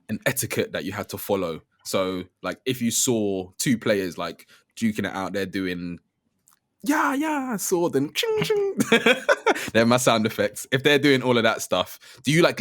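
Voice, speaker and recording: A man's voice: medium pitch, no room sound, clean studio sound. A man in his twenties hosting a casual games podcast, asking questions and laughing often. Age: 20s. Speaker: podcast host.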